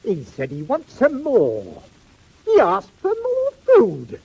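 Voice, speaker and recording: Husky, blustering Cockney workhouse bully. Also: male voice, extremely high pitch, huge pitch swings, slow pace, warm tone, no room sound, highs cut off, heavily acted. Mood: shocked outrage. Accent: English, Cockney-flavoured. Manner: pompous, blustering, exclaiming. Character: blustering, bad-tempered, cruel workhouse master. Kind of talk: 1940s audio drama acting.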